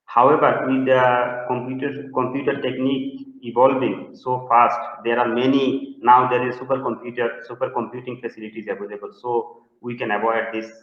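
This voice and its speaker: Man from Bangladesh, halting with fillers, roomy echo, dull lo-fi webinar audio. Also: medium pitch. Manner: hesitant, many fillers and restarts. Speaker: presenting researcher answering questions. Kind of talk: webinar Q&A answers. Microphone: video call. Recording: echoey, dull lo-fi call audio.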